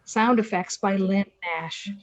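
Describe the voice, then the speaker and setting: A woman's voice: mid-range voice, slow pace, dry recording. A woman announcer reading a scripted radio show introduction, recorded in slightly dull sound.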